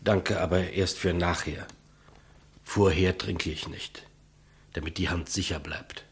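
Low-voiced, polite old executioner. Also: male voice, fairly even intonation, a little slow. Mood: calm, polite. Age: older. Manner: deferential, plain, businesslike. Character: elderly male state executioner, polite, clumsy, matter-of-fact. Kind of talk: 1950s German radio play acting.

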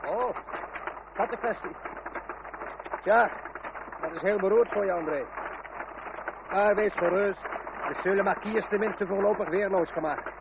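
An actor playing a pragmatic, reassuring gentleman in a radio play, with a wry manner. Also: sits high, a little slow, long pauses. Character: pragmatic political friend, consoling yet calculating. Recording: tinny, noisy old radio recording.

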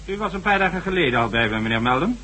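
An actor playing a stern, reproachful government minister in a radio drama, formal and scolding. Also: male voice, a little high, big pitch swings, very fast, noisy background.

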